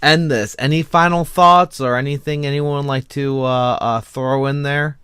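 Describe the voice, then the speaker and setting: A man's voice: mid-range voice, steady tone, normal speed, fairly bright tone, smooth, no echo. A man hosting a casual online panel chat in a joking, rambling way. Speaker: host of an online panel discussion.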